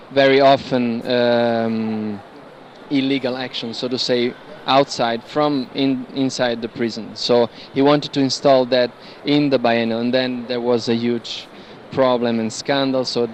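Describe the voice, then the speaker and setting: A man giving an informal, explanatory talk on artworks as a guide, pausing to search for words. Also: medium pitch. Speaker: curator guiding an art fair tour. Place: large indoor art fair hall. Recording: clear background noise, busy fair hall.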